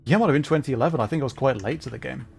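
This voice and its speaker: A man hosting a livestream, giving casual, halting gameplay commentary as he thinks aloud. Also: medium pitch, vocal fry, darkish tone. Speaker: livestream host playing a video game.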